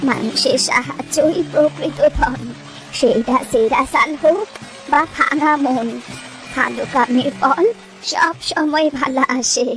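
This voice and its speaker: High-voiced woman narrating over music. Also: slow pace, low-quality recording. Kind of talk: scripted moral story narration. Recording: loud music behind the voice.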